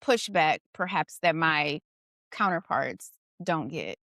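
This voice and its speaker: A woman's voice: deep voice, lively intonation, slow pace, sharp tone, dry recording, studio quality. A middle-aged woman hosting a casual conversation, thoughtful and questioning, often adding "you know" and "right". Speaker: podcast host.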